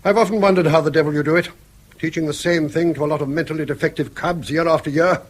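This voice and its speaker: A man's voice: a little high, fairly even intonation, quick pace, natural voice. An actor playing a cantankerous old English father in a British radio drama, blunt, dry and sardonic. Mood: grumbling, sardonic. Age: older. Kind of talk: mid-century British radio drama acting.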